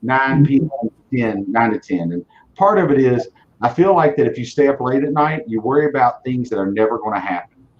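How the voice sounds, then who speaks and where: A man's voice: medium pitch, animated, fairly quick, lo-fi sound, slight background noise. A man in his forties giving casual interview answers in a blunt, driven way, often repeating words as he restarts. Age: 40s. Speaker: auto dealership leader, guest.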